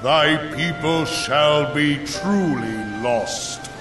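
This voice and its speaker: Solemn ancient god, fantasy game, stern judge, archaic grave speech, distant echoing voice, unhurried. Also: male voice, fairly high pitch. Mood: stern warning. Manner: slow, grave, archaic pronouncements. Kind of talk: fantasy video game voice acting. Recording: distant, echoing voice over loud music.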